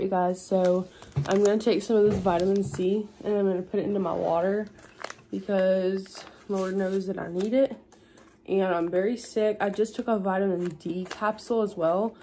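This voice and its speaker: Hoarse young woman, sick and stuffy, tired casual vlog talk at home, faint background noise. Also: steady tone, normal speed, mellow. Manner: tired, low-energy, chatty. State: sick, lost voice, congested. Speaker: vlogger. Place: at home indoors.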